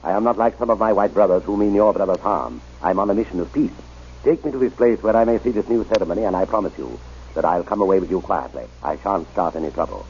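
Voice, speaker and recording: An actor playing a determined missionary reverend, coaxing and deliberate, in an old-time radio drama. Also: male voice, deep voice, a little mellow, slight background noise, close to an everyday voice. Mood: earnest, reassuring. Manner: deliberate, coaxing, emphatic delivery. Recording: muffled, dull old radio recording.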